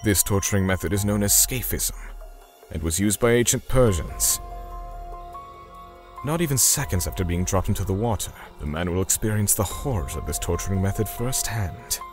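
A male actor as a righteous, menacing torturer narrating a manga story. His low voice is grim and measured, with music playing behind it. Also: expressive. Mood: clinical. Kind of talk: scripted manga dub narration.

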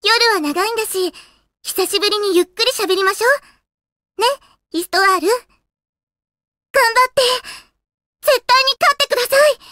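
A woman voicing a polite, gentle, earnest young girl spirit in a Japanese anime-style video game. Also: very high-pitched, a little slow, long pauses, no room sound, clean studio sound, exaggerated voice. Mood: gentle, familiar, then urgent, encouraging. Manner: polite, earnest, soft-spoken courtesy.

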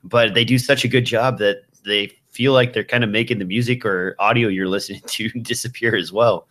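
A man's voice: fairly low pitch, steady tone, fairly quick, fairly bright tone. A man in his thirties hosting a podcast, chatting casually about tech gear into a close microphone. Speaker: podcast host. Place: small indoor room with shelves of gear. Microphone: broadcast microphone on a boom, close up, with headphones.

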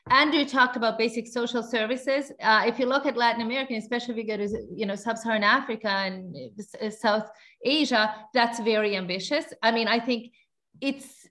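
A woman's voice: on the high side, brisk, bright, forceful tone. A woman from Finland in her forties, a researcher answering a panel question over a video call, fluent and explanatory with light fillers. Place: indoor room. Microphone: video call webcam.

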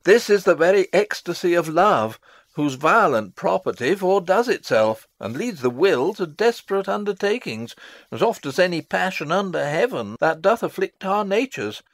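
An actor playing a fussy, self-assured older royal counsellor in a classical drama reading, wordy and pompous. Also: male voice, high-pitched, very animated, normal speed, no echo, heavily acted. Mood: urgent, regretful.

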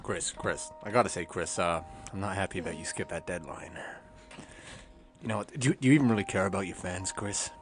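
A man playing a disappointed nag who scolds in a halting, repetitive, conversational way in a skit. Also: low voice, lightly acted. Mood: reproachful. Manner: stammering, reproachful, repetitive.

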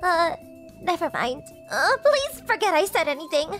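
A lovestruck teenage anime girl, extremely high and very animated in pitch. She is clingy and jealous, delivering everything with dramatic emotion, as in a romantic comedy dub. Music plays behind her. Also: moderate pace, full character voice. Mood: shy, sweet.

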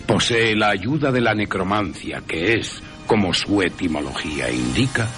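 A man with an accent from Spain narrating a horror story for radio in a theatrical, suspenseful way with long pauses. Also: deep voice, lively intonation, a bit bright, soft background music. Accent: Spanish from Spain. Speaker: radio drama narrator and presenter. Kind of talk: dramatic scripted horror narration.

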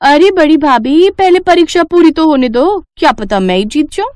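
A woman puts on a greedy daughter-in-law for an animated moral tale. She is pushy and quick to complain, eager for what she wants. The sound is dry and close. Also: sits high, no echo, pitched above the performer's own voice. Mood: eager, boastful. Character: greedy, pushy elder daughters-in-law, grown women with children. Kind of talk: animated moral story dialogue.

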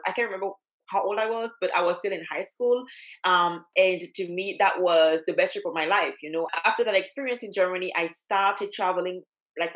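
Animated woman from Cameroon on a video call. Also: on the low side, rough recording. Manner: animated, laughs often, many fillers. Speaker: travel blogger and doctor, guest. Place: home room, indoors. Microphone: headset on video call.

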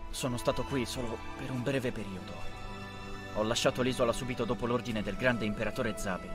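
A grim, knowing anime boy , acted by a man. His mid-pitched voice lays out warnings gravely and deliberately, with music underneath. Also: fairly even intonation, lightly acted. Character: grim, knowing teenage boy soccer player. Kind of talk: anime fan dub acting.

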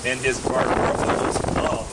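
A middle-aged man reading a children's story in rhyme aloud at an outdoor gathering, with deliberate, measured delivery. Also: mid-range voice, completely flat delivery. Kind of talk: live public storytime reading, rhyming verse. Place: outdoor public gathering in a park. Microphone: microphone on a stand, recorded by nearby camera. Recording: noisy outdoor recording from a distance, wind and traffic.

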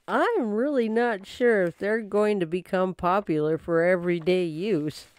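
A woman's voice: mid-range voice, measured pace, a little mellow, clean tone, no room sound, natural voice. A woman playing a chatty secretary at a detective's office, in old time radio drama style. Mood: amused.

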